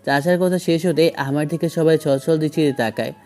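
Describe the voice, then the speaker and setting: A man's voice: on the high side, a little fast, darkish tone, soft background music. A man narrating a first-person romantic story in a dramatic scripted style.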